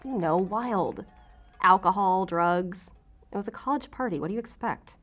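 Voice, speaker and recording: An actress playing a guarded, defiant law-enforcement agent in an audio drama, with a sarcastic, defensive way of talking. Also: female voice, mid-range voice, moderate pace, a bit bright, faint music behind, lightly acted. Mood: guarded, flippant.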